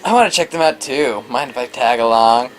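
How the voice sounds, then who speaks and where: A woman's voice: a bass voice, a little fast. A woman co-hosting a let's play with wry, joking banter, sometimes reading the game's dialogue aloud. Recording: faint background game music.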